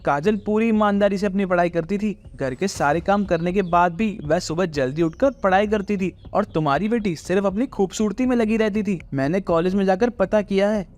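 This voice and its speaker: A man's voice: sits high, a little mellow, close to an everyday voice. A man playing a protective, fair-minded father who speaks earnestly and firmly in a moral story. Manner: earnest, firm.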